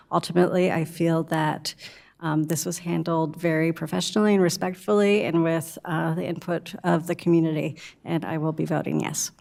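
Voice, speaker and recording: An American woman with plenty of vocal fry and a slightly low pitch speaks as a commissioner. Her public remarks are measured, with a hesitant edge. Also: a little mellow. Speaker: town board commissioner. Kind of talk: public remarks at a town meeting.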